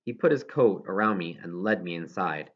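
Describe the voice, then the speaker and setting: A man's voice: low voice, expressive, normal speed, muffled recording. A man reading a children's novel aloud as scripted narration, now and then stumbling over a word. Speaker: audiobook reader.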